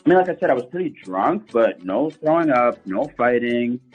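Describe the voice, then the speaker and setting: A man's voice: medium pitch, big pitch swings, moderate pace, husky, muffled recording, soft background music. A man calling a radio show, talking casually in a hesitant, subdued way. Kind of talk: casual phone conversation.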